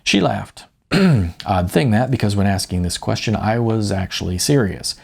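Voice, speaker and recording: A man's voice: fairly low pitch, animated, a little slow, vocal fry, breathy edge. A man reading his own novel as an audiobook in a wry, conversational first-person manner. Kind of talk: first-person audiobook narration with acted dialogue.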